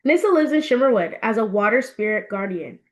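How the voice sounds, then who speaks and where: A woman reading her own fiction aloud as an expressive storybook narration. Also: on the high side, a little fast.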